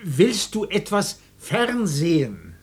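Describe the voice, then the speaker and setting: A man's voice: sits high, wildly swooping pitch, slow, gravelly, breathy edge, exaggerated voice. A man playing a helpless, henpecked family father in a German radio drama, hesitant, stammering and pedantic. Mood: helpless, concerned.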